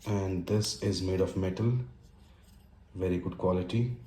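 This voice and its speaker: A man with an Indian accent narrating an unboxing casually and unscripted, in halting phrases broken by pauses. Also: low voice, fairly even intonation. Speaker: tech and motorcycle vlogger. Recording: roomy echo with faint background noise.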